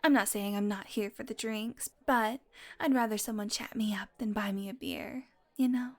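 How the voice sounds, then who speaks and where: A woman's voice: mid-range voice, steady tone, moderate pace, close to an everyday voice. A woman playing a sardonic, flirtatious, possessive female vampire in an intimate audio roleplay, talking teasingly to the listener and sometimes dropping into a murmur. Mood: flirty, playful. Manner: sardonic, teasing, casually swearing, slipping into breathy murmurs.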